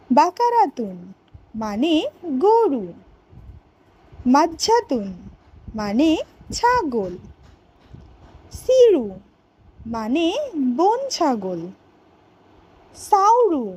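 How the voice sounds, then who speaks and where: A woman voicing a cartoon schoolteacher who teaches vocabulary to young pupils in a deliberate, word-by-word way. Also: very high-pitched, a little mellow, full character voice, low-quality recording. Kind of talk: educational cartoon vocabulary lesson.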